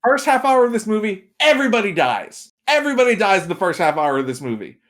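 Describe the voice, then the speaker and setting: Higher-pitched man chatting casually about films. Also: sing-song, quick pace, a bit bright. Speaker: podcast guest, movie critic. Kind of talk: casual chat, giving film opinions.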